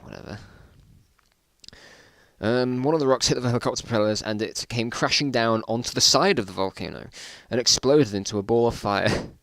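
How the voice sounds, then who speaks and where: A man's voice: on the low side, a bit bright, no room sound. A man reading his own old stories aloud in a casual dramatic style, with self-mocking, bemused asides.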